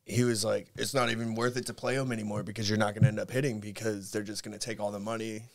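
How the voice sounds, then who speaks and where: A young man with a lowish voice, co-hosting a podcast. He tells his stories in a relaxed way and throws in jokes. He talks close into a stand mic in a small studio room. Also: fast talker, gravelly, crowd noise. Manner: relaxed, joking storyteller. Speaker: podcast co-host, bartender. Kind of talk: casual chat. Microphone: studio mic on stand, headphones.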